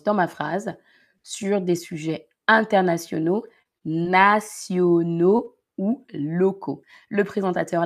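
Slow-speaking Parisian woman teacher. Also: on the low side. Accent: Parisian French. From Paris region, France. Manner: slow, careful explanations, repeating key words. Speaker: French teacher streaming a lesson. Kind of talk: live-streamed language lesson.

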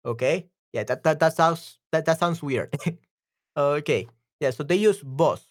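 A voice actor with a Salvadoran accent giving a casual language lesson, chatty and repeating words slowly, often checking in with the listener. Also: mid-range voice. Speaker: Spanish teacher and voice actor. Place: room with some echo.

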